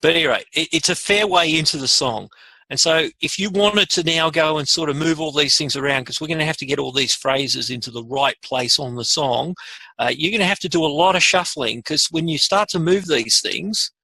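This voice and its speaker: A man presenting a casual software tutorial, informally thinking aloud as he demonstrates each step. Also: fairly high pitch, fairly quick, dry recording, rough recording. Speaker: webinar presenter.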